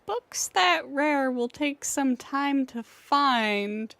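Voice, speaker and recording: A woman's voice: high voice, expressive, extremely slow, creaky, fairly bright tone, no echo. A woman game streamer giving casual live commentary and reading game lines aloud. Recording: faint game music behind the voice.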